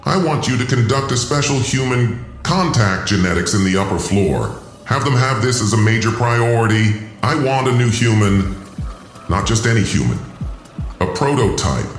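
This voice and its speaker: A man's voice: mid-range voice, sing-song, moderate pace, pitched above the performer's own voice, lightly acted. Man playing a grandiose, wildly swooping alien warlord. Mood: commanding, eager. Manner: commanding, theatrical, gloating. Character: cruel, grandiose male alien warlord scheming as a god. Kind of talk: audio drama acting. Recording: echoing voice over music.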